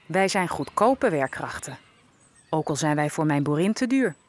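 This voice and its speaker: An actress playing a sorrowful, observant enslaved African woman, narrating an audio drama in a measured, reflective way. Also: low voice, big pitch swings. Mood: somber, reflective.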